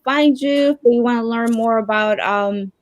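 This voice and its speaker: A woman's voice: fairly high pitch, normal speed, dry recording. Chatty woman co-host, dry close sound. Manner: warm praise, chatty, with fillers. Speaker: co-host of an online author readalong. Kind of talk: live-stream interview.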